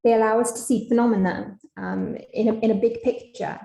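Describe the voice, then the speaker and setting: A young Scottish woman giving an online academic lecture over a video call, measured, with frequent ums. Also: a little high, fairly even intonation, gravelly, dark tone, echoey room, lo-fi sound. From Scotland.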